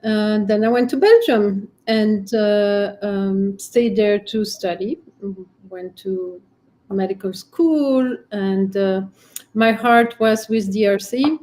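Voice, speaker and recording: A middle-aged woman physician who grew up in Congo, answering interview questions in a measured, gracious way. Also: mid-range voice, dark tone. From Democratic Republic of Congo. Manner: measured and gracious, with many fillers. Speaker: physician guest.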